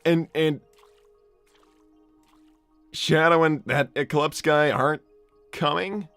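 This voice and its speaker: A man's voice: on the high side, steady tone, slow pace, a bit bright, no room sound, faint music behind. A man voicing an anxious, exasperated young cartoon hedgehog hero in an improvised livestream, stammering and repeating himself as he talks. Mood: anxious, disbelieving. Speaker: live improv role-play host. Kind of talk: improvised in-character livestream, reading viewer messages.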